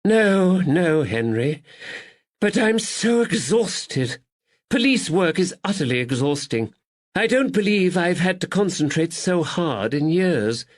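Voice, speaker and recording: A man voicing a dramatic aristocratic lady, theatrical and breathy. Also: on the low side, very animated, slow pace, voice pushed up, heavily acted. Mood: weary. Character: weary, affected aristocratic lady.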